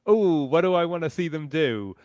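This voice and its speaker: A man speaking as a panelist in a casual online discussion, with hesitant, measured phrasing. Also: fairly high pitch, lively intonation, a little mellow, no room sound, highs cut off. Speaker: podcaster and panelist. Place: online video call.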